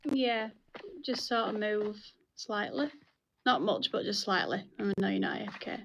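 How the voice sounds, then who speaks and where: A woman with a mid-pitched voice leads a meeting over game voice chat, with faint noise and clicks behind her. She explains rules in casual, instructive talk. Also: a little slow, gravelly, fairly bright tone, rough recording. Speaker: senior EMS player leading a game meeting.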